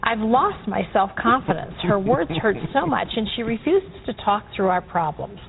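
Animated, creaky woman reading aloud. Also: mid-range voice, normal speed. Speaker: reader of a viewer's letter. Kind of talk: reading a letter aloud on a show. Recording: phone-like played clip, faint noise.

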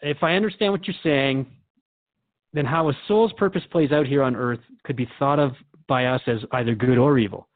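A man's voice: medium pitch, moderate pace, no echo, phone-quality sound, natural voice. A man playing an earnest, puzzled radio host who asks reflective questions in a scripted drama interview. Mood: earnest, unsettled.

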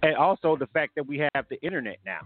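A male radio host talks fast and with energy, throwing in slang and circling back on his words. His voice sits a little high, and it comes through thin and narrow. Soft music plays under him. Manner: energetic, slangy, repeats himself. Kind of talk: casual hip hop radio interview. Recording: lo-fi narrow sound with music behind.

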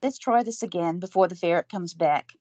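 A woman's voice: fairly low pitch, brisk, a little mellow, no echo, muffled recording, lightly acted. A woman playing a young female interviewer who babbles nervously in front of her idol in an audio drama. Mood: flustered. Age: young adult. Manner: babbling, apologetic.

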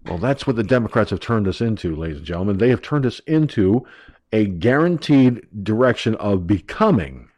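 A man hosts an online talk radio rant in a stuffed-up, nasal voice. He sounds brash, crude and mocking. His pitch sits fairly low. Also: sing-song, moderate pace, a little mellow.

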